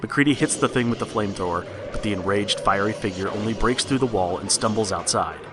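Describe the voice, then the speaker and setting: A man's voice: lowish voice, fast talker, very noisy. A man narrating a scripted horror story in the style of a read-along storybook record.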